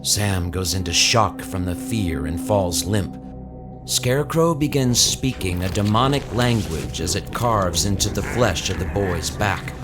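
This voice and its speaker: A man's voice: low voice, unhurried. A man giving dramatic scripted narration for a horror audio drama. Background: music under the voice.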